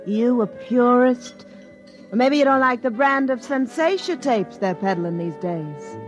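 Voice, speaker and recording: A streetwise young woman of the bars in an old radio drama. Her voice is fairly high, wary and offhand, full of slang, with faint music behind it. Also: expressive, measured pace.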